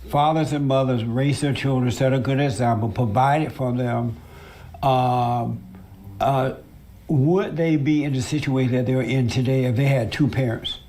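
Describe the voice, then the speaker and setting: A man's voice: medium pitch, fairly even intonation, measured pace, warm tone, a little hiss or hum. A man hosting a confrontational debate interview, pressing his guest with repeated pointed questions. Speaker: show host.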